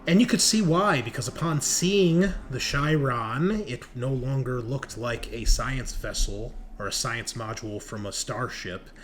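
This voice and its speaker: A man's voice: medium pitch, a little slow, hardly any pauses. A man acting as game master, recapping a tabletop horror game in a dramatic, emphatic storytelling manner. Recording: noticeable background noise.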